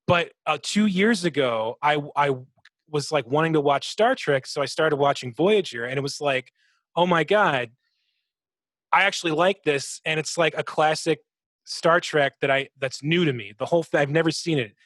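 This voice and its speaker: Man, slightly high voice, dry close sound, casual game chat, podcast co-host, sweary. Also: normal speed, a bit bright, dry recording. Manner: swears freely, imitates sound effects.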